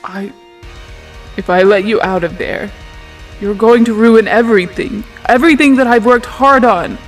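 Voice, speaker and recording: A performer as obsessive masked genius, brooding, hushed, intense, wounded, tabletop drama, music underneath. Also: medium pitch, normal speed, natural voice. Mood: guarded, brooding. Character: Phantom, obsessive, secretive, wounded male genius.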